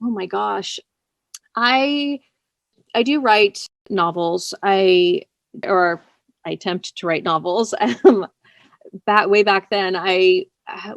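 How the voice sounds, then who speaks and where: A woman's voice: mid-range voice, no room sound. Female interview guest, writer. Casual, chatty, self-effacing delivery, very little room sound. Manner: chatty, self-deprecating, often laughing lightly as she talks.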